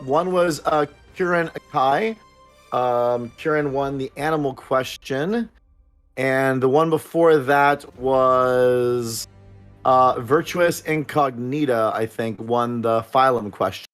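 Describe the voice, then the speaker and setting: A man hosting a casual livestream and chatting to viewers in a rambling, upbeat way full of fillers. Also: medium pitch. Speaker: producer and stream host.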